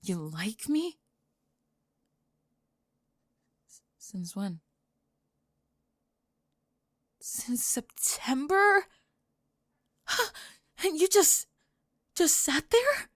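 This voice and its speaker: A woman's voice: high-pitched, sing-song, very slow, pauses often, a bit bright, dry recording, clean studio sound, exaggerated voice. A woman playing a flustered young goth girl with a secret crush, rambling and stammering breathlessly in an ASMR roleplay. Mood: stunned, indignant. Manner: rambling, stammering, breathless panicked over-explaining.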